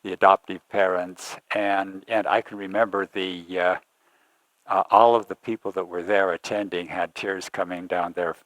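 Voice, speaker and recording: A man's voice: deep voice, fairly even intonation, normal speed, fairly bright tone, no room sound, low-quality recording. A man interviewing a church member, hesitant and repeating words as he asks questions.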